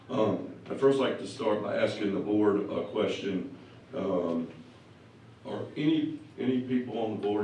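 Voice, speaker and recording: A middle-aged man, a parent, slightly hoarse, speaking plainly in public comment at a school board meeting. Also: lowish voice, a little mellow, slight background noise. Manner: plainspoken, asks the board pointed questions. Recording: very echoey room, distant mic.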